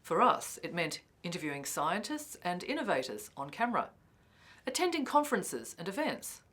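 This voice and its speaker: Low-voiced woman narrating from a script. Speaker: filmmaker and documentary narrator.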